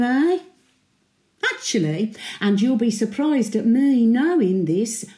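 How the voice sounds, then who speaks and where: In a roomy, echoing space, a woman plays a centenarian Brummie widow and old market trader. Her voice is highish and soft-edged. She talks in a wry, colloquial, sentimental way, as if confiding in her late husband in a radio monologue. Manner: chatty, wry, colloquial storytelling.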